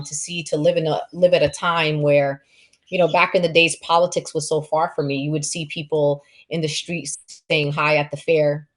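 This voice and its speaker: A woman hosting a political event, speaking off the cuff in an impassioned, emphatic way and repeating words to press her point. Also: low-pitched. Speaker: host and moderator introducing panelists.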